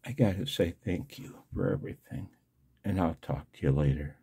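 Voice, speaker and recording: An older man with a gravelly, husky voice talking casually and haltingly in a personal, emotional, confessional video blog. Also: low-pitched, mellow. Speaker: amateur radio video blogger. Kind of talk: personal screen-share vlog, casual monologue.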